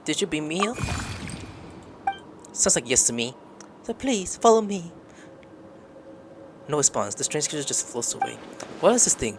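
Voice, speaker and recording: A woman doing playthrough commentary on a story game, reading dialogue aloud and reacting in a playful, expressive way. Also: low-pitched, normal speed, pauses often, noisy background. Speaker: gamer playing through a story game.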